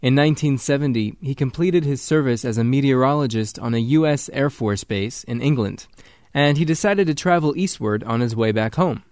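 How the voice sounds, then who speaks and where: A male radio reporter reading a scripted news story in an even, clear manner. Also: medium pitch, normal speed, no room sound, studio quality.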